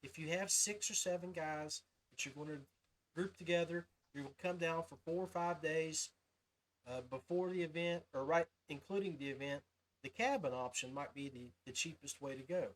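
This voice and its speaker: A man with a notably high-pitched voice hosts a casual podcast conversation. He talks in an informal, folksy way, like someone chatting with friends. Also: moderate pace, poor audio. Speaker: podcast host. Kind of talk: casual interview chat.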